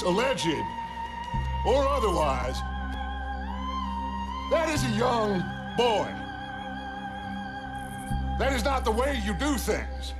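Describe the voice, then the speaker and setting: An actor playing a grandiose, persuasive American outlaw gang leader with a theatrical, deliberate delivery in a Western game. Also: male voice, high voice, exaggerated voice. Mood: stern. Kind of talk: dramatic Western game cutscene acting.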